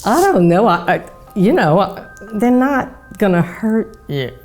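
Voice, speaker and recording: Casting: female interviewee, dark soft tone, hesitant repeated phrasing, light music behind. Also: medium pitch, sing-song, measured pace. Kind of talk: casual interview chat.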